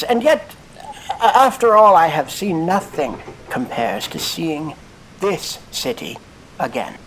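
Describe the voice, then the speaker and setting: An actor playing a celebrated explorer in a fantasy audio drama, giving grandiose, halting, theatrical speeches to an adoring crowd. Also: male voice, on the high side, gravelly, slight background noise, rough recording. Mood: grandiose, wistful.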